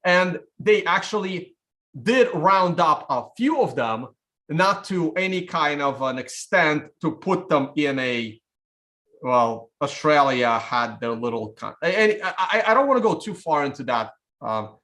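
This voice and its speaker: A livestream channel late in its broadcast, where a man with a fairly high voice rambles haltingly through his commentary to viewers. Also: lively intonation, a bit bright. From Soviet Union, now Russia; immigrated to America. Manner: halting, rambling, often punctuated with "okay?" and "right?". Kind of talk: unscripted livestream political commentary.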